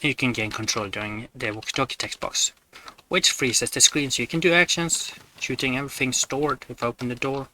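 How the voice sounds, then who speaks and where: A man's voice: medium pitch, fairly quick, pushed and bright, a little hiss or hum. A man giving matter-of-fact, step-by-step commentary on video game glitches over his gameplay. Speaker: gamer presenting glitches.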